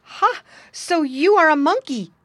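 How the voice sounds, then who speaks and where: A woman's voice: high voice, animated, unhurried, a bit bright, no echo, studio quality, voice pushed up. A woman voicing a naive, eager, comical little male pig in a storybook, polite and easily astonished. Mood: wondering.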